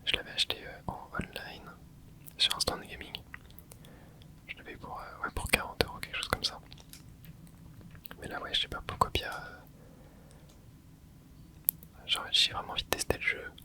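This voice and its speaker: Soft whispering gamer thinking aloud. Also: normal speed, pauses often, poor audio. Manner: casual, hesitant, frequent filler words. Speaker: gaming channel host. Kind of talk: whispered ASMR gameplay commentary.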